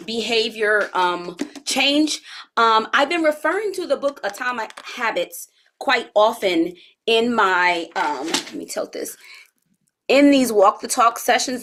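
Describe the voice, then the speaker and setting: A woman in her forties giving a live-streamed health coaching talk, chatty and relaxed, speaking straight to her viewers and using casual slang. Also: fairly high pitch, a little hiss or hum. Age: 40s.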